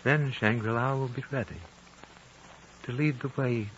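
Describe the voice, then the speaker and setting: A man playing an ancient, serene, fatherly High Lama in a 1950s radio drama, solemn and formal in his phrasing. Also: fairly even intonation, unhurried, natural voice. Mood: solemn, prophetic. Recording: muffled, hissy old radio recording.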